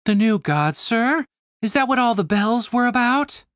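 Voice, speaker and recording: Narrator acting out sly woman schemer, brash, casual, animated pitch, audiobook heroine, dry sound. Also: measured pace, dry recording. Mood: feigned meekness.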